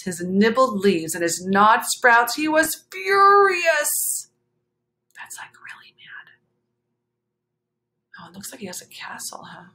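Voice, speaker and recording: Female children's story reader, fairly high voice, unhurried and dramatic, slipping into chatty asides. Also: fairly bright tone. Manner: dramatic, drawn-out phrasing with exclamations and gasps. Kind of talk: expressive picture book read-aloud with chatty asides.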